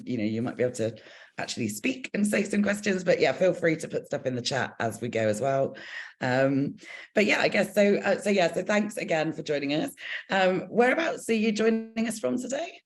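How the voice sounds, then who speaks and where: Woman host on a video call, hesitant and halting. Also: sits low, animated. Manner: hesitant, many fillers and restarts. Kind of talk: hosting a video-call session.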